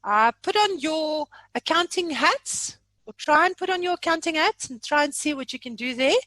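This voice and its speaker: High-voiced woman, chatty trainer. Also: expressive, moderate pace, sharp tone, dry recording, rough recording. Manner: jokey, chatty, self-deprecating. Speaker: software trainer hosting a training webinar. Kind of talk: informal live online training chat. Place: remote online meeting from own room.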